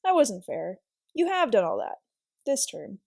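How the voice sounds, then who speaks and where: A woman's voice: a little high, big pitch swings, measured pace, vocal fry, a little mellow, dry recording, clean studio sound, full character voice. A woman voicing an upset, hot-tempered schoolgirl with blunt, old-fashioned phrasing in a storybook reading. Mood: subdued, frank.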